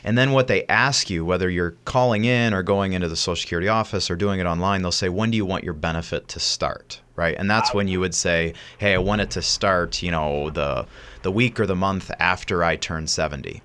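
Deep voiced guy on a radio show, patient, confident, explains step by step. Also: brisk, a bit bright, smooth. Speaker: radio show co-host, financial adviser. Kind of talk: answering callers on a call-in show.

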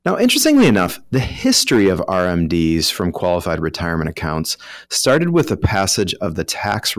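A man's voice: deep voice, dry recording, clean studio sound. A man with an American accent, a financial professional explaining rules in an informal, conversational way as a guest expert. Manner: explanatory, informal, voices hypothetical first-person examples.

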